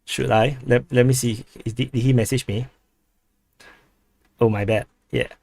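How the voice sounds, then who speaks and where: A man's voice: medium pitch, fairly even intonation, a little slow, gravelly, a little mellow. A man from Singapore with a Singaporean English accent, hosting a casual livestream, rambling with many restarts while reading chat aloud. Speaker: livestream host.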